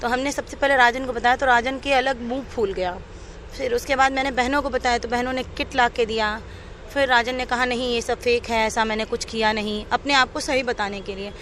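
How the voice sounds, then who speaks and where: A woman's voice: a little high, steady tone, fairly quick, a bit bright, slight background noise. A young woman giving a personal account in an interview, recounting her grievances at length.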